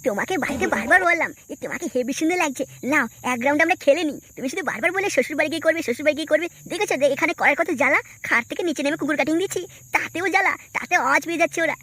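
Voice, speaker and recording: A man voicing a brash, slangy young village husband in a comic cartoon, with a pitched-up voice. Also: lively intonation, very fast, few pauses, sharp tone, no room sound, full character voice. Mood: exasperated. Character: brash, foul-mouthed young village husband, quick to grumble. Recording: very noisy cartoon soundtrack.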